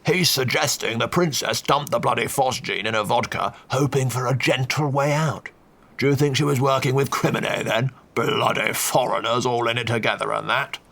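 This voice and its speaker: A man voicing a crotchety, brutal old duke who swears constantly in a gruff way. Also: mid-range voice, steady tone, normal speed, fairly bright tone. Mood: scornful.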